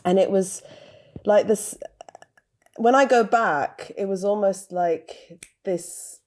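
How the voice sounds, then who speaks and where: A woman's voice: mid-range voice, slow pace, darkish tone. A woman who grew up in Britain, telling personal stories in a candid, casual chat and repeating words for emphasis. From Lebanon. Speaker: guest.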